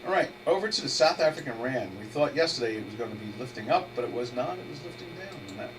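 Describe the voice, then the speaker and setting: A man's voice: medium pitch, fast talker. Man with a head cold, distant hum. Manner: rambling, meandering, restarts sentences. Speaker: trader hosting a live webinar. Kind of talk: casual live market commentary, thinking aloud. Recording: distant mic with steady electrical hum.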